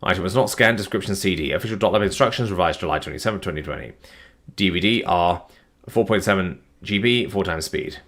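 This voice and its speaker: A tired man, reading long file listings aloud on a live stream in a flat, plodding way, his voice starting to give out. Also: deep voice, fast talker. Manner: flat, plodding reading of numbers with weary asides. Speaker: document narrator.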